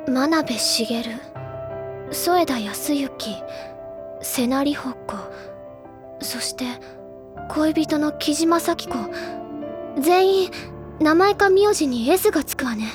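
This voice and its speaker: A woman playing an earnest, somewhat childish high-school girl of a literary bent in an audio drama. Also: animated, slow pace, long pauses, over music. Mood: pensive, probing. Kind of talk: anime audio drama dialogue.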